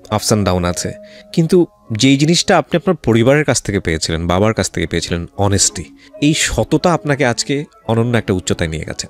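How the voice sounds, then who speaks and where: A man with a Bangladeshi accent hosting a radio interview, respectful and effusive, repeating phrases for emphasis. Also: medium pitch. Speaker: radio show host, interviewer. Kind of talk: radio interview hosting, addressing guest and listeners. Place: radio studio. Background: music behind the voice.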